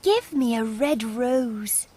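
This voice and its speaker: A woman's voice: a little high, animated, takes it slowly, warm tone. A woman voicing a romantic, devoted little nightingale in a storybook, earnest, wistful and pleading.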